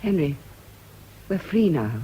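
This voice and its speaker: A woman's voice: fairly low pitch, big pitch swings, a little slow, warm tone, breathy edge, heavily acted, low-quality recording. An actress with a British accent playing an intense, lovelorn, repressed woman in a fervently theatrical radio drama. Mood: pleading, passionate.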